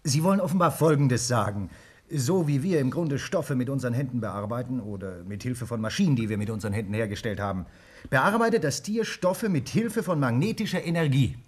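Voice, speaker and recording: A man acting a thoughtful scientist in a 1950s German radio play, reasoning in a deliberate, explanatory way. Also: mid-range voice, expressive, a little mellow, slightly rough. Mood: thoughtful, conceding. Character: male scientist, thoughtful doubter reasoning things through.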